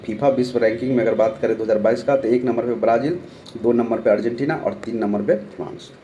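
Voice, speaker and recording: A man's voice: mid-range voice, steady tone, quick pace, warm tone, dull audio, a little hiss or hum. Bihari man with a sore throat teaching. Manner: reads a question then gives the answer, repeats key names. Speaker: online exam-prep teacher. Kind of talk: current-affairs quiz lesson.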